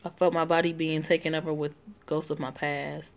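A woman's voice: low-pitched, level tone, brisk. A woman reading a story game aloud, voicing every part and breaking off for joking asides. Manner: reads all parts, breaks off with joking asides. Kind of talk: casual read-aloud with asides.

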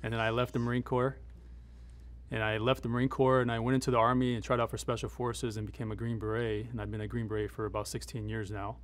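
A man's voice: medium pitch, flat delivery, fast talker. Middle-aged man, matter-of-fact interview talk. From United States, born in Afghanistan. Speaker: military advisor and former Green Beret. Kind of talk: interview soundbites, conversational explanation.

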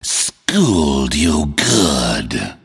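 A man voicing a menacing, grim and proud deep-sea fish-man guard for a video game, in a raspy, growling voice with a forceful delivery. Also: sing-song, extremely slow, full character voice. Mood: gloating. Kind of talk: video game character voice lines.

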